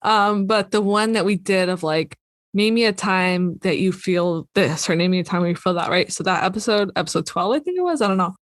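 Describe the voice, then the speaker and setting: A woman's voice: medium pitch, brisk, no echo, clean studio sound. A woman co-hosting a podcast in casual chat, laughing often and teasing herself. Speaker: podcast co-host.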